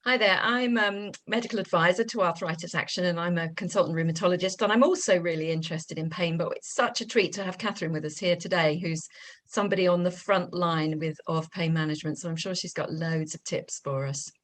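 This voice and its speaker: A woman's voice: on the low side, a little fast, a bit bright. A woman speaking informally as a medical expert on a webinar panel. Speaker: doctor, consultant rheumatologist on a panel. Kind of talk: informal self-introduction to a webinar.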